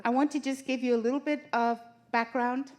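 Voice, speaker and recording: A woman's voice: high-pitched, fairly even intonation, a little fast, slight background noise. Woman from Zimbabwe hosting a seminar. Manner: warm, organized, introduces speakers and lays out the agenda. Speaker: presenter of a church seminar. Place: church hall.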